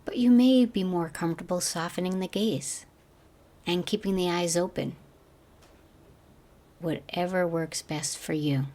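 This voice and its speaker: Low-voiced woman guiding a meditation. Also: unhurried, long pauses, mellow. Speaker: meditation teacher guiding a body scan. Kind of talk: guided meditation narration.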